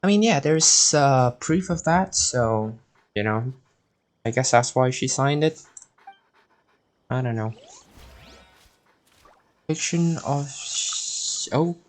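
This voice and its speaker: A young man live-streaming a game, casually commenting and reading aloud in a halting, stumbling way. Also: medium pitch, expressive. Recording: game music behind the voice.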